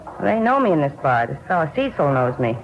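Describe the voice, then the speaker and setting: A woman's voice: deep voice, big pitch swings, quick pace, gravelly, exaggerated voice. An actress playing a plucky, helpful American woman turned police informant in a 1950s radio crime drama, earnest and slangy. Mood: earnest, determined. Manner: plain-spoken, slangy, earnest. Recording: dull, noisy old radio recording.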